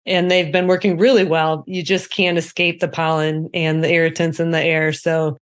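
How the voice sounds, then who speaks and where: A woman's voice: low voice, level tone, normal speed, clean tone. A woman with an American accent co-hosting a podcast, chatting casually and laughing easily. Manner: friendly, laughs easily, short affirmations. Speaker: podcast co-host. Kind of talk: casual chat.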